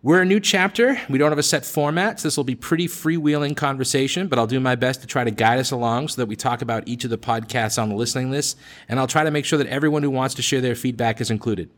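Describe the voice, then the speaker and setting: A man's voice: medium pitch, fast talker, fairly bright tone. A man hosting a casual group discussion in an informal, conversational way. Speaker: host of a podcast discussion group. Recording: roomy echo, faint background noise.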